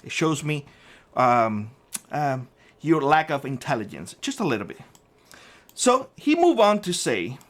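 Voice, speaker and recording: A man's voice: a little high, sing-song, a little slow, pauses often, fairly bright tone. Indignant man with a Cuban Spanish accent. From Cuba. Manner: indignant, combative, swearing. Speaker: prepper channel host. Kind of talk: ranting vlog callout.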